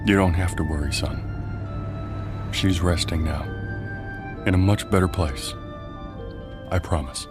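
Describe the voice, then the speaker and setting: An actor playing a gentle, weary widowed father in a radio drama, measured and restrained. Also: low voice. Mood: gentle, subdued. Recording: studio radio play with music underneath.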